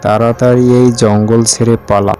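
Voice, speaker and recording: A man's voice: mid-range voice, little pitch movement, moderate pace, darkish tone, soft background music, lightly acted. A man playing a brave, confident young village tea-seller in a cartoon story, speaking in a defiant, plain-spoken way.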